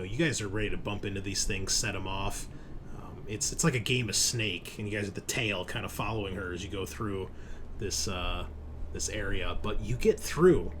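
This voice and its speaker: A man's voice: on the low side, a little hiss or hum. A man acting as game master, narrating a tabletop roleplaying game in a casual, descriptive way and explaining rules.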